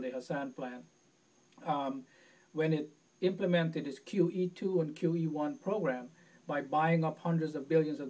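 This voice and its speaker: A man from Guyana answers interview questions in an earnest, measured way. His voice sits a little high for a man and stumbles over a word now and then. He sounds set back from the mic. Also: a little mellow, recorded from a distance, poor audio. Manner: earnest, measured, repeats words at restarts. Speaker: presidential candidate.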